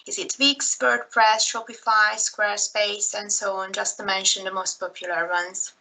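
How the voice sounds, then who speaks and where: A woman's voice: mid-range voice, little pitch movement, moderate pace, gravelly, sharp tone, rough recording. A woman from Hungary in her thirties with a Hungarian accent, giving a recorded slideshow talk in a careful manner with frequent fillers. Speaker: presenter.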